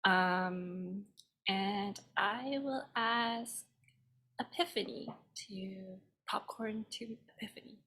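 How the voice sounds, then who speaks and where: A woman calmly introducing her family background on a call, measured, with fillers. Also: mid-range voice. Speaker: participant in a video call. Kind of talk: casual self-introduction.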